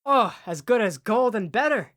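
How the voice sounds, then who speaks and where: A woman playing a cheerful, gentle poor father in a student radio drama. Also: on the high side, animated, normal speed.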